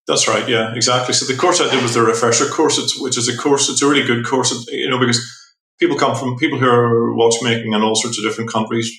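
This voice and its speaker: A middle-aged man from Belfast with a Northern Irish accent, telling his story as an interview guest, rambling and restarting his sentences and trailing off softly. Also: medium pitch, steady tone, fast. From Northern Ireland. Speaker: watchmaker guest. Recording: echoey room.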